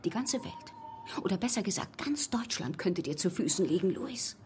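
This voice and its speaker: A woman's voice: on the low side, animated, normal speed, darkish tone, faint music behind, close to an everyday voice. A woman playing a jealous, ambitious wife in a German radio drama, sarcastic, insinuating and manipulative. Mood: seductive, scheming.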